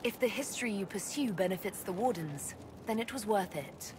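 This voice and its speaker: An actress voicing a steady, compassionate heroine in a video game, speaking in a composed and direct way. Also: female voice, medium pitch, moderate pace, noisy background, natural voice. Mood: calm, assured. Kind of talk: dramatic video game dialogue.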